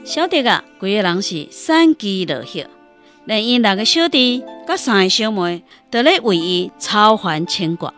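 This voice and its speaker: A woman's voice: mid-range voice, expressive, measured pace, fairly bright tone, soft background music. A woman with a Taiwanese accent narrating a scripted story in a radio drama.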